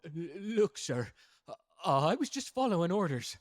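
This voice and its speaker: A man acts out a scared intruder caught in the act. He stammers and pleads, his pitch jumping about, in an audio drama. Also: big pitch swings, moderate pace. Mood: terrified. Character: frightened, stammering captured male intruder. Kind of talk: character audio roleplay drama.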